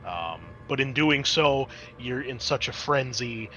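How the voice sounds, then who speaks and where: A man's voice: mid-range voice, animated, a little slow, bright, forceful tone, a little hiss or hum. A man working as a game master, narrating a tabletop role-playing game in a conversational, improvised way.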